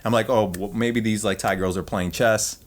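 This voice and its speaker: A man's voice: lowish voice, steady tone, normal speed. A man whose first language was Vietnamese, co-hosting a casual podcast and giving insistent, lecturing advice. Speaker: podcast co-host. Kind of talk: casual reaction chat.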